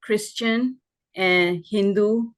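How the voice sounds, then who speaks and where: A woman's voice: mid-range voice, fairly even intonation, very slow. Middle-aged woman telling her story, laughing easily. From Pakistan. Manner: warm storytelling, laughs often. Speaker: guest, life coach and author. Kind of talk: conversational podcast interview.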